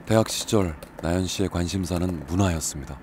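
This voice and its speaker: A man reading scripted documentary narration in a calm, measured way. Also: low-pitched, background noise.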